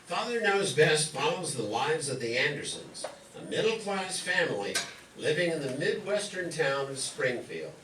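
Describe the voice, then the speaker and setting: A man's voice: a little high, sing-song, normal speed, sharp tone. A man reading a scripted introduction as a radio show announcer. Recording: roomy, distant mic, clear noise.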